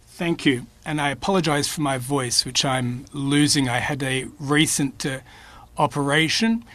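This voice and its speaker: A man interpreting formal committee testimony, with a measured, halting delivery. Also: medium pitch, sharp tone, no room sound.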